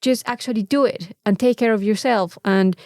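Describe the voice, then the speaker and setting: A woman chatting casually as a podcast co-host, animated and free with swearing. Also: medium pitch, normal speed, no room sound, studio quality. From Mexico. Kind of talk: casual chat.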